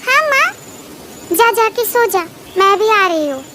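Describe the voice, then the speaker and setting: Man doing a very high, childlike cartoon village female. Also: expressive, unhurried. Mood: gentle, domestic. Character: motherly village woman and her young daughters, cartoonish. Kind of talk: cartoon horror story dialogue. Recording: clear background noise behind.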